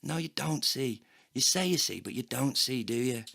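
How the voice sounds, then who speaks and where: An actor playing a bitter, heartbroken, foul-mouthed man, narrating a scripted comedy audio play in a sardonic, deadpan way. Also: medium pitch, moderate pace, vocal fry, no echo, clean studio sound, exaggerated voice. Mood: angry, scornful.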